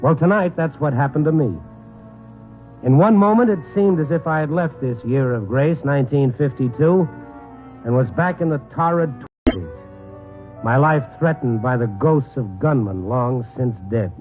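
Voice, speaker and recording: An American actor playing a wry, hard-boiled night reporter in a 1950s radio drama, both narrating and talking in dry slang. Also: male voice, mid-range voice, normal speed, narrow, tinny audio, over music, close to an everyday voice. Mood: grim, wry narration. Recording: band-limited old radio broadcast recording.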